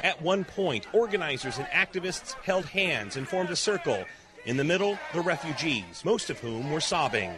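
Guy doing a radio news report, slightly high voice, busy outdoor crowd behind. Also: big pitch swings, moderate pace. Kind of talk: scripted news narration and field questions. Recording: outdoor urban crowd noise. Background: crowd and street sounds outdoors.

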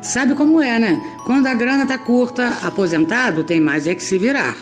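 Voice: mid-range voice, moderate pace. A Brazilian narrator with a mid-range voice tells a scripted story in chatty, colloquial phrasing. Faint music plays behind.

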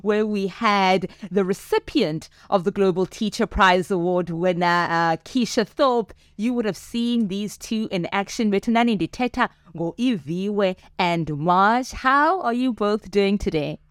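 A woman's voice: mid-range voice, clean tone, no echo, studio quality. A woman hosting a podcast, addressing her listeners as "good people" and affirming her guests. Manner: slow, warm-welcoming, affirming. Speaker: podcast host.

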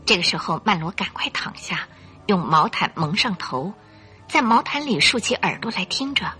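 Animated, bright-voiced woman narrator. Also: mid-range voice, normal speed, soft background music. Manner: theatrical, suspenseful, clearly articulated. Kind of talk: dramatic radio storytelling narration.

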